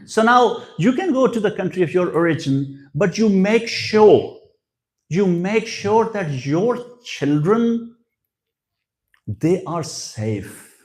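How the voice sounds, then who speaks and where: A man's voice: on the high side, animated, slow pace, long pauses, darkish tone. A man from Pakistan, giving a religious lecture that mixes advice with personal stories and repeats key phrases for emphasis. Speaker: guest speaker at a religious gathering.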